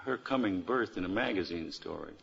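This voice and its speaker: A man's voice: sits low, lively intonation, fairly quick, creaky, fairly bright tone. An American man on a quiz panel, talking in casual, colloquial banter with a wisecracking manner. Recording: dull, lo-fi old radio recording.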